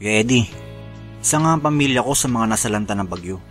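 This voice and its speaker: A man's voice: medium pitch, expressive, quick pace, close to an everyday voice. Male actor as jailed ex-migrant worker in his forties, sorrowful letter narration for radio drama, prominent music underneath. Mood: sorrowful, reflective. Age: 40s. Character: Naldo, 45-year-old imprisoned former overseas worker confessing his sorrows.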